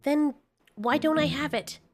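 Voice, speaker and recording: A woman's voice: high-pitched, measured pace, music in the background. A woman voicing a proud, testy giant moth monster queen in an audio drama, demanding and exasperated.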